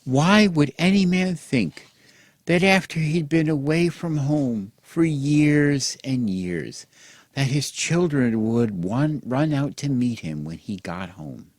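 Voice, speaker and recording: A man hosting and telling a mock children's bedtime story in an exaggerated gentle, sing-song way, as if speaking to small children. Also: fairly high pitch, lively intonation, slow pace, dry recording. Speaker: host and storyteller.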